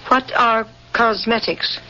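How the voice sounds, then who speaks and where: A woman's voice: a little high, fairly even intonation, slow, sharp tone. An actress in a radio drama playing a stern young woman interrogator with a formal, clipped manner. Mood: stern, accusing. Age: 30s. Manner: severe, formal, clipped questioning. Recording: muffled, slightly noisy old radio recording.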